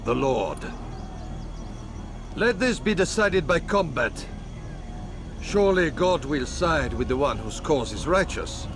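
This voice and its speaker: Grand crusader king, gravelly, sweeping intonation. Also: fairly high pitch, expressive, takes it slowly, pauses often, background noise. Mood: solemn, commanding. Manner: regal, declamatory. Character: proud crusader king, grand, pious, weighing judgment. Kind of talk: dramatic video game cutscene acting. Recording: music behind the voice.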